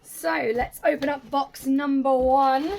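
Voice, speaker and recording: A young woman hosting a casual unboxing vlog, chatty and enthusiastic, her voice slightly raspy and going hoarse. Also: sits high, fairly even intonation, slow, a little hiss or hum. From United Kingdom. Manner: chatty, enthusiastic, drops to soft whispers at times. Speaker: YouTube host.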